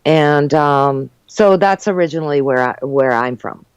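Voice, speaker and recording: A woman's voice: low-pitched, a little slow, clear, resonant tone, dry recording. An older woman, born in Uruguay and raised in New Jersey and New York, chatting as an interview guest and telling her story with lots of fillers. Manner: chatty, warm storytelling with frequent fillers and laughs. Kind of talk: casual interview chat.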